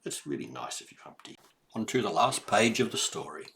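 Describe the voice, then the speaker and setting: An older man reads a children's story aloud in an animated way, pausing to explain and to ask the young listener questions. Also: lowish voice, gravelly, slight background noise.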